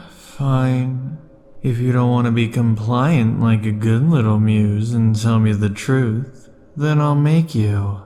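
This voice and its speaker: A man voicing an obsessive, possessive immortal lover in an ASMR roleplay, speaking softly and slowly with dark chuckles, shifting between tenderness and threats. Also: medium pitch, lightly acted. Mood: cold threatening.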